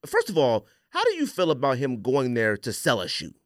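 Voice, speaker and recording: A man's voice: medium pitch, huge pitch swings, fairly quick, no echo, studio quality. A man hosting a casual podcast, giving a blunt, emphatic, opinionated rant peppered with rhetorical questions. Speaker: podcast host.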